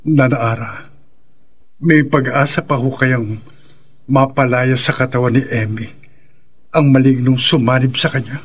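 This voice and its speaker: A distraught husband in a radio horror play. His voice is medium in pitch and rough-edged, earnest and pleading as he begs on behalf of his possessed wife. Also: steady tone, a little slow, long pauses. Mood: anxious, pleading. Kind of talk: radio drama acting.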